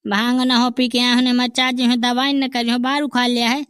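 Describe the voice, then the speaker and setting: A woman voicing a pompous male quack doctor in a village cartoon, with a Bundelkhandi accent and self-important, drawn-out boasting. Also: on the high side, flat delivery, a bit bright, clean tone, no room sound, studio quality, close to an everyday voice. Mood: bossy.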